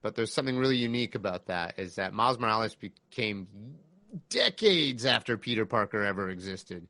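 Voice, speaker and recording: A man's voice: lowish voice, animated, a little fast, sharp tone, dry recording. A man in his thirties chatting casually as a podcast guest, enthusiastic and reflective. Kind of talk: casual chat.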